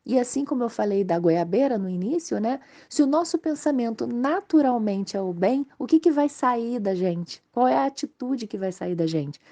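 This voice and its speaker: A Brazilian woman with a slightly hoarse voice from a mild cold, giving an informal, explanatory religious study talk to viewers. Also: fairly high pitch, lively intonation, normal speed, hardly any pauses, warm tone. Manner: explanatory, conversational, checking in with tag questions. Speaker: host of a spiritist study channel.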